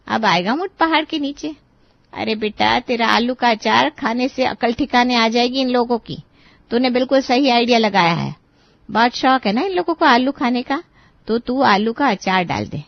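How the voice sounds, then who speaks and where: Woman acting a feisty, high-voiced middle-aged mother. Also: lively intonation, moderate pace, vocal fry, dry recording, muffled recording. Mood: gleeful encouragement. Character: feisty, teasing mother egging on married daughter. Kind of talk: dramatized story dialogue.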